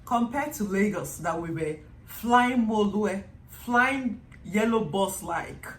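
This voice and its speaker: A woman's voice: mid-range voice, slow pace. A young woman from Nigeria with a Nigerian accent, chatting casually to her vlog audience and acting out little quotes as she tells stories. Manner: chatty, animated storytelling with acted-out quotes. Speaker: vlogger. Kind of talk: casual vlog monologue to camera. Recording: echoey room with faint background noise.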